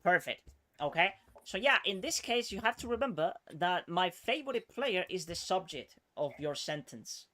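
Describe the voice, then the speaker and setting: A man with a fairly high voice gives a one-on-one English lesson. He explains step by step and checks his student's understanding with a steady stream of "okay?" He sometimes slips into Spanish to make a point clear. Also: normal speed. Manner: patient, checks in with frequent "okay?". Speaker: English teacher.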